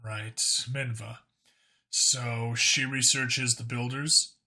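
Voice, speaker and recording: A man playing a blunt, wry human cop in an audiobook, with a casual, low-key delivery. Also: flat delivery, extremely slow, sharp tone, exaggerated voice. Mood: curious. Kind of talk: audiobook character acting.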